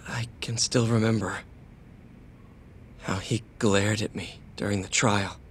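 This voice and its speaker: A man's voice: on the low side, fairly even intonation, unhurried, a bit bright, slight background noise. A man playing a weary, downcast prisoner in a video game cutscene, hesitant and subdued. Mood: regretful, hushed.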